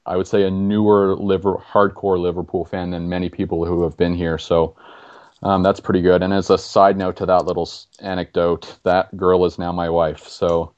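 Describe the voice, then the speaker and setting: A young man from Canada with a very deep, flat voice, chatting easily on a podcast. He uses plenty of ums and uhs. The sound is dull and lo-fi. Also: moderate pace. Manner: flat, easygoing, with fillers. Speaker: podcast guest. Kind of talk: casual podcast chat.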